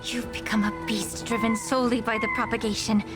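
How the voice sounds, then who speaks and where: An actress playing a poised, courteous woman official in a video game, formal and deliberate, with quiet firmness. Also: fairly high pitch, level tone, natural voice. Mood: firm, accusing. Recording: game music behind the voice.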